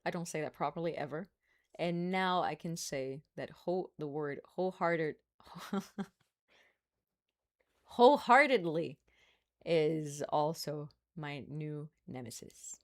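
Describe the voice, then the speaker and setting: Woman, Brazilian accent, slightly low voice, casual stream chat, laughs a lot, playful. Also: animated, slow, long pauses. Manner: chatty, laughs often, playful asides.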